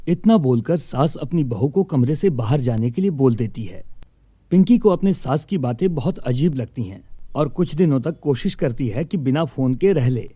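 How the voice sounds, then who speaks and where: A man's voice: mid-range voice, warm tone, no echo. A man narrating a scripted moral story as a storyteller.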